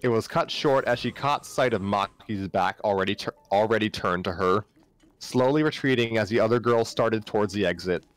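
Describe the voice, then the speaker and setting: A man's voice: lowish voice, normal speed, clean tone, low-quality recording. A man narrating a story live on a stream, often stumbling and repeating phrases as he reads aloud. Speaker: narrator, livestream reader. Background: background music.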